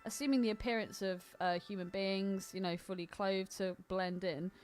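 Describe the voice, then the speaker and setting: A woman's voice: medium pitch, fairly even intonation, normal speed, faint music behind. A woman co-hosting a podcast in casual film chat, with a blunt, sweary, opinionated way of talking. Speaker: podcast co-host.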